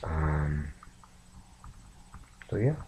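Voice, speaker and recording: A man sick and rambling softly to his camera late at night in a raspy, half-whispered voice. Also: extremely low pitch, expressive, slight background noise. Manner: slow, meandering, soft-spoken. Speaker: vlogger.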